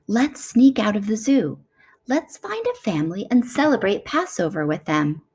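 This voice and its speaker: Eager storybook kangaroo, played by a woman: high, bouncy, very animated, friendly and excitable. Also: moderate pace, husky.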